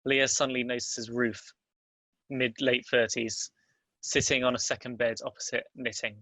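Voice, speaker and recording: Bright-voiced man narrating flatly, close and dry. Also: medium pitch, normal speed, no room sound. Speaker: narrator reading stage directions. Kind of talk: scripted narration at a table read.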